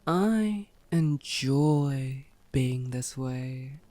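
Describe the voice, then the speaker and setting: A woman's voice: extremely low pitch, animated, extremely slow, dark tone, exaggerated voice. A woman playing a sardonic, teasing, bloodthirsty female vampire in an ASMR roleplay, drawing out words and sometimes sinking toward a whisper. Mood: savoring, menacing. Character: teasing, unrepentant bloodthirsty female vampire, old yet youthful-sounding.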